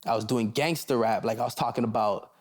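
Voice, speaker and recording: Laid-back young Canadian rapper. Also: male voice, mid-range voice, fairly quick. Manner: laid-back, loose, repeats "you see what I'm saying". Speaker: rapper guest. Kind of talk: casual interview chat.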